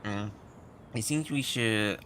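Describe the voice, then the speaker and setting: A man livestreaming a game with casual commentary, hesitant and halting, mumbling at times as he gathers his words. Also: lowish voice, very noisy.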